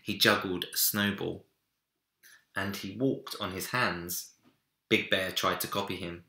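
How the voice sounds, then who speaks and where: A young man narrating a children's storybook with dramatic, deliberate phrasing in a room indoors. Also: sits low, steady tone, gravelly, pushed and bright. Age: young adult. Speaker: story reader. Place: small home room, indoors. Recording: roomy echo.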